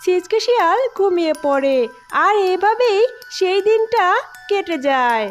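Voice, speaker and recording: A woman's voice: very high-pitched, animated, measured pace, faint music behind. A woman narrating a scripted cartoon story.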